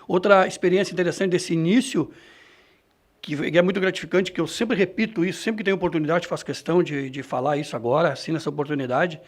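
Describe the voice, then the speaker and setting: Higher-pitched man, interviewed. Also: fast talker. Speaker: radio reporter and presenter being interviewed. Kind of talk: reflective interview answer, personal anecdotes.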